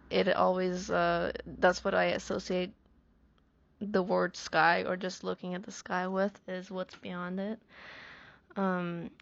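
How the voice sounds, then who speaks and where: A woman from Iran, a native Farsi speaker, talking reflectively and pausing to search for her words. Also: lowish voice, dull audio. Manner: thoughtful, searching for words, many restarts. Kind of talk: reflective personal monologue.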